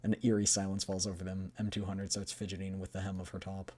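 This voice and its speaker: Low-pitched streamer reading a game story. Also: completely flat delivery, fast, warm tone. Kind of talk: scripted game story narration with casual asides.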